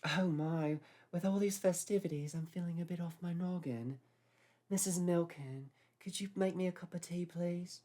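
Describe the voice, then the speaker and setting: A man's voice: high voice, moderate pace, mellow, voice pushed up, close to an everyday voice. A man voicing a posh, fretful older woman doctor with a prim, fussy manner.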